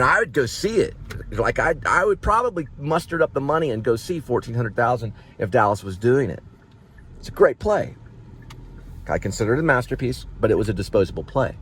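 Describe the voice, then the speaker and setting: A man's voice: medium pitch, a bit bright, slight background noise. A man from the United States giving a rambling, informal vlog monologue in a conversational way, often checking in with the listener. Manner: conversational, checks in with "right?" and "you know". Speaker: vlogger, playwright and actor.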